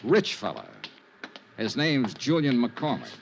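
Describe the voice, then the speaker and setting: An actor playing a shrewd, smooth-talking insurance investigator in a 1950s radio drama, with clipped hard-boiled narration and probing questions. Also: male voice, medium pitch, huge pitch swings, measured pace, a little hiss or hum, heavily acted. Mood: casually probing. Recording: old muffled radio recording, faint music.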